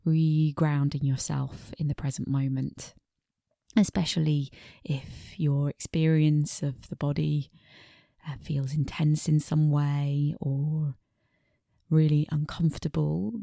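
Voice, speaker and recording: Woman, meditation teacher, creaky dark voice, soft breathy phrase endings, gentle pacing with pauses, guided body scan. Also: low-pitched, little pitch movement, dry recording, studio quality. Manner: gentle, unhurried, pausing between short phrases.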